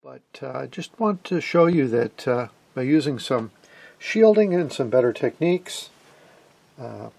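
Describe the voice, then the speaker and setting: A man giving an informal hands-on demonstration as a hobbyist, explaining things in a hesitant way with pauses mid-phrase. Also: medium pitch, lively intonation, vocal fry, a little mellow. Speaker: amateur radio hobbyist and presenter.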